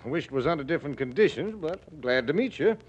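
A genial rustic businessman. His voice rides high and swings widely, full of homespun courtesy. It comes through a dull 1940s radio recording. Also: lively intonation, fast talker. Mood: genial, plainspoken. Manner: folksy drawling courtesy, homespun grammar. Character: folksy rustic Western businessman, genial and plainspoken.

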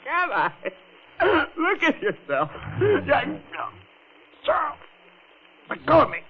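An actor playing a cocky, mocking romantic rival with a scornful, jeering manner in a 1940s radio melodrama. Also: male voice, extremely high pitch, big pitch swings, slow pace, heavily acted. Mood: alarmed. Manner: scornful, jeering, punctuates talk with laughter. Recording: muffled, noisy old radio recording.

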